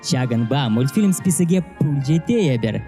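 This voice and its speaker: A man's voice: medium pitch, animated, unhurried, mellow. A man whose native language is Chuvash, presenting a scripted film review as a narrator. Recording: music under the voice. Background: music.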